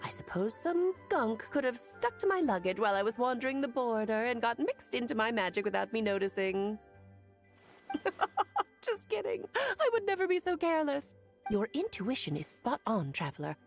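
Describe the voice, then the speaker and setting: Worldly witch, refined, teasing, lecturing, lively pitch, game cutscene. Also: normal speed, faint music behind. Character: grand, playful female witch, worldly and theatrical. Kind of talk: game voice acting.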